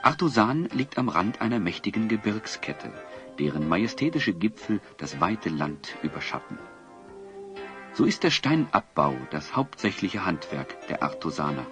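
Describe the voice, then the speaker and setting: A man narrating a German audio drama in an elaborate, literary and gently ironic storyteller's manner. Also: fairly low pitch. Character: measured storytelling male narrator. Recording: dull lo-fi tape, music behind.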